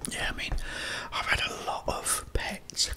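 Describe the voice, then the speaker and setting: A man speaking in a breathy whisper, chatting and telling casual stories in a halting way as an ASMR host while eating. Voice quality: breathy, mostly unvoiced whisper.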